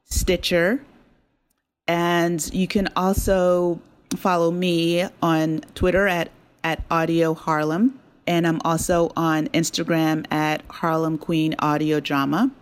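Chatty woman with a lower-pitched voice. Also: flat delivery, slow, clean tone. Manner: chatty, appreciative, laughs easily. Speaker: interview guest, audio drama creator. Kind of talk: casual conversational interview.

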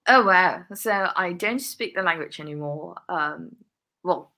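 A woman's voice: fairly low pitch, a little slow, sharp tone. A woman answering interview questions earnestly on a podcast, often breaking into laughter between phrases. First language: English. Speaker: guest, workplace diversity consultant.

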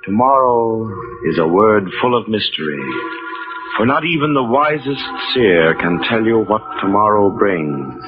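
An actor playing an ominous narrator of 1940s radio mystery tales, speaking theatrically with portentous, dramatic pauses. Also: male voice, fairly low pitch, big pitch swings. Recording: dull old 1940s radio recording, loud music.

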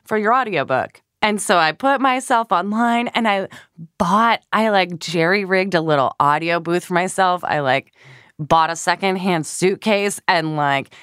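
A woman co-hosting a podcast, telling stories in a chatty, animated way with lots of fillers. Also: lowish voice, a little slow, clean tone, no room sound, studio quality. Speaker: podcast co-host. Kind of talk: casual chat.

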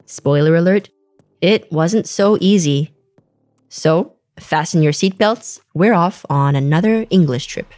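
A woman hosting an English-learning podcast, introducing the episode in a clear, slow teacherly way. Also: lowish voice, mellow, dry recording. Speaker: podcast host. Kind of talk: scripted lesson narration. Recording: dry studio voice with faint music.

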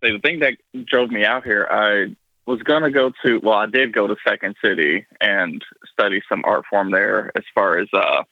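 A man's voice: on the low side, normal speed, no room sound, phone-quality sound. A man from Tennessee, a guest in a casual chat, telling rambling jokes. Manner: joking, rambling storyteller. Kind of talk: casual chat on a video call.

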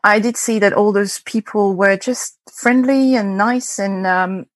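A woman's voice: medium pitch, fairly even intonation, a little slow, no echo. A young adult woman with a French accent, a guest data scientist chatting casually in an interview, laughing and restarting her sentences often. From France.

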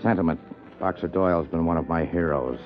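An American actor playing a guarded, tough client in a 1950s radio drama, speaking tersely and bluntly. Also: male voice, low-pitched, fairly quick, faint music behind, lightly acted. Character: guarded, tough male client with shady business. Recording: muffled 1950s radio recording.

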